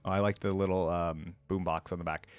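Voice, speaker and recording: A man's voice: low-pitched, steady tone, brisk, smooth, no echo, soft background music. A man hosting a reaction video, giving casual, opinionated commentary in an informal, exclaiming way.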